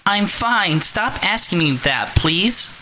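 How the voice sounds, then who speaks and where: A cool, unbothered young woman who soothes and deflects, as a man puts her on while reading a story aloud. Her tone stays patient and a little dismissive. The voice sits somewhat high and bright. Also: animated, slow pace, soft background music, heavily acted. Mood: placating.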